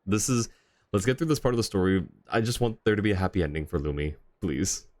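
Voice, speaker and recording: A man's voice: low-pitched, fast talker, darkish tone, dry recording. A man doing casual, chatty livestream commentary on a game, reacting and replying to his chat. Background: faint game music.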